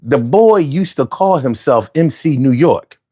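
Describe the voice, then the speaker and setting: A man's voice: mid-range voice, animated, a little slow, dark tone. A man in his forties from Philadelphia with a Philly accent, hosting a casual, argumentative monologue full of slang and restarts. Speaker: host.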